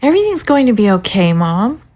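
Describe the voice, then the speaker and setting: Teen daughter, woman actor, animated pitch, reassuring and modest, radio-drama feel. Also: brisk, slightly rough. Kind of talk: audio drama acting.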